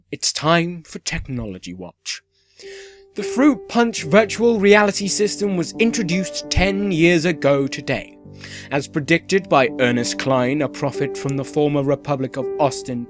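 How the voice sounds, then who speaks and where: A man playing a cheerfully sinister radio host of a dystopian town, with theatrical, measured delivery and dramatic pauses in a scripted radio play. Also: a little high. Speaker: radio presenter. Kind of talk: scripted radio play in the manner of an eerie community radio show.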